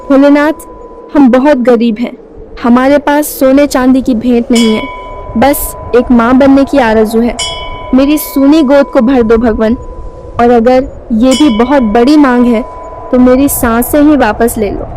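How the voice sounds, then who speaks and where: Woman doing poor village wife in a cartoon story, high mellow voice, earnest n pleading, devout. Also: steady tone, unhurried, a little hiss or hum. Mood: pleading prayer. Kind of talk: Hindi moral cartoon story acting.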